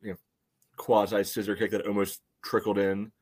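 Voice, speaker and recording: Male soccer podcast co-host, lowish voice, easygoing analysis, measured and conversational. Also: monotone, breathy edge.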